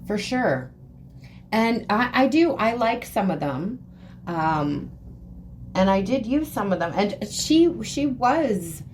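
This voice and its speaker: A woman hosting a podcast, talking in a relaxed, agreeable way in casual conversation. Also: fairly low pitch, a little hiss or hum. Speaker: podcast host.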